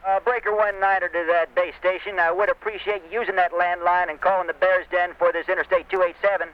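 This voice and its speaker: A man's voice: high-pitched, little pitch movement, fast. A man playing a stranded long-haul trucker, polite and folksy, talking in CB slang over a radio. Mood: grateful. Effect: CB radio filter. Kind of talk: acted CB radio dialogue. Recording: muffled CB radio transmission.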